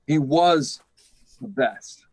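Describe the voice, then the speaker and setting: A man's voice: medium pitch, animated, drawn-out words, slight background noise. A man acting an earnest, authoritative official in a 1950s-style radio drama, speaking with urgent emphasis. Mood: grim, subdued. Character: earnest, authoritative male official handling a crisis.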